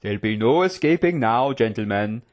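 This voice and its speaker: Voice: mid-range voice, animated, moderate pace, lo-fi sound, natural voice. A narrator voicing a smug male prison guard in a fantasy audiobook, taunting prisoners in a leisurely, gloating way.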